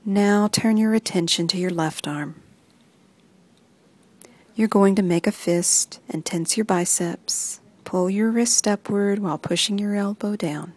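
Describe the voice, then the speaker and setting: A woman reading a guided muscle relaxation script in soft, even instructions that often sink into a whisper. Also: mid-range voice, unhurried.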